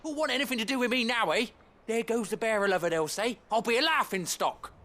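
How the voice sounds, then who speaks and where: A man's voice: extremely high pitch, fast talker, pushed and bright. An actor playing a coarse, peevish, bigoted townsman in a video game, with a blustering British delivery. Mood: bitter, indignant. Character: foul-mouthed, bigoted townsman, proud and peevish.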